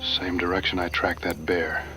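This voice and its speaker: A man's voice: deep voice, little pitch movement, normal speed, bright, forceful tone. A man playing a resolute, plain-spoken frontier hunter and tracker in an audio drama, with a folksy, determined manner. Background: music and night crickets.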